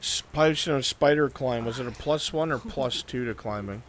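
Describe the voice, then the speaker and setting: A man acting as game master, narrating a live roleplay game in a measured, descriptive way. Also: mid-range voice.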